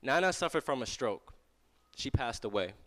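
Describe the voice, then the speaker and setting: A young man giving a live startup pitch, projecting energetically as he tells a family story. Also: expressive, moderate pace, fairly bright tone. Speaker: student startup founder pitching. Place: venture lab event room.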